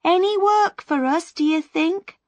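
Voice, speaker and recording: A woman voicing a hopeful, struggling actress who talks with eager, breathless brightness. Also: very high-pitched, measured pace, dry recording, dull audio, pitched above the performer's own voice. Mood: bright.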